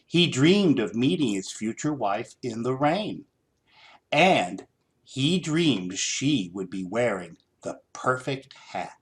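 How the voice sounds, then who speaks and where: A man reading a children's story aloud in an expressive, theatrical, deliberate style. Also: medium pitch. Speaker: storybook reader.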